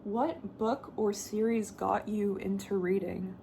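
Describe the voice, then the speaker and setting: Chatty young woman with vocal fry. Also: mid-range voice, fairly even intonation, slow, dark tone, slight background noise. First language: Danish. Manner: chatty, talks on and on, laughs often. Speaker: book vlogger. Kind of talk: casual chat to camera.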